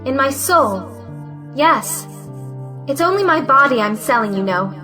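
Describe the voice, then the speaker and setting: Actress as cynical young woman, high bright voice, theatrical mockery, audio play. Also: animated, measured pace, faint music behind, full character voice. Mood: cold irony. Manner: mocking, theatrical. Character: cynical, bitterly sarcastic young bride-to-be.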